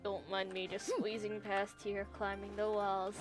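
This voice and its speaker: Slow-paced woman livestreaming a game. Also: medium pitch, flat delivery. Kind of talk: casual live game commentary, reading dialogue choices aloud. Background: soft music.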